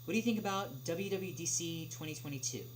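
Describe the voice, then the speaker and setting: A young man answering questions in a casual livestream chat, speaking haltingly with many drawn-out filler pauses. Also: on the high side, expressive. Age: young adult. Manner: halting, hesitant delivery with frequent long filled pauses. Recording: clearly noisy, humming background.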